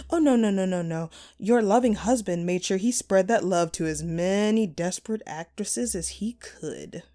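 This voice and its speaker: A woman's voice: mid-range voice, a little slow, mellow, lightly acted. A woman playing a vengeful, sadistic jilted actress in a horror audio roleplay, mocking and theatrical, with menacing playfulness. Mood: mocking, bitter.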